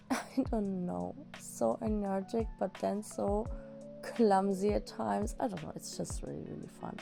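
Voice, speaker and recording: A young woman, slightly hoarse after an illness, rambling enthusiastically as she reviews manga in a casual vlog. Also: medium pitch, mellow. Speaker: manga vlogger. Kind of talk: casual book review chat.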